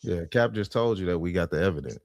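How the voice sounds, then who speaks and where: A man's voice: low-pitched, animated, fast talker, a crowd in the background. A man on a panel pressing questions in a heated debate, stammering and restarting.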